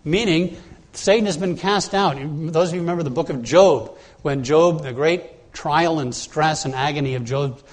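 A man's voice: fairly high pitch, normal speed. A man preaching an expository sermon, explaining scripture verse by verse in a plain teaching tone with frequent "uh"s and restarts. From Bahamas.

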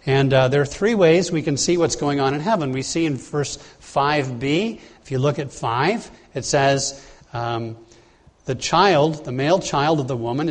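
A man preaching an expository sermon, explaining scripture verse by verse in a plain teaching tone with frequent "uh"s and restarts. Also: on the high side. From Bahamas.

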